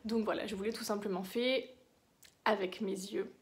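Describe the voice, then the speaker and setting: A woman's voice: medium pitch, steady tone, moderate pace, slightly rough. A woman giving a casual makeup tutorial, chatty and explanatory, often correcting herself as she goes. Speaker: beauty vlogger.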